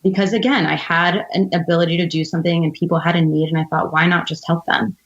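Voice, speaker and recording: Woman, deep register, emphatic conversational storytelling, interview guest, muffled band-limited sound. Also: fairly even intonation, quick pace. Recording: dull, band-limited audio.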